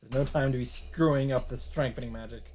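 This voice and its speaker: A man narrating a visual novel aloud as a let's player, in a measured, casual read-aloud delivery. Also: mid-range voice, steady tone, darkish tone.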